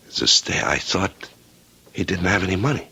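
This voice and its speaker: Blunt American private eye, raspy, very deep, deliberate, plain-spoken, radio drama. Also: male voice, animated, bright, forceful tone, heavily acted. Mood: measured, frank. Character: blunt, cautious, truthful male private detective. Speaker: actor.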